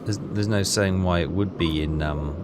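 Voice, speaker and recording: A man's voice: low voice, normal speed, very noisy. A man giving casual playthrough commentary, reading game text aloud and muttering hesitantly to himself as he puzzles things out. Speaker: gameplay commentator. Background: game music.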